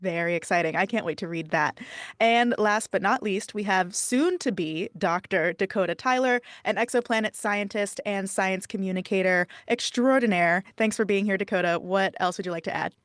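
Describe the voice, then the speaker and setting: A woman's voice: medium pitch, moderate pace, dry recording, studio quality. A woman moderating a live online panel, upbeat and friendly, over a video call. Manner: upbeat, friendly, laughs easily.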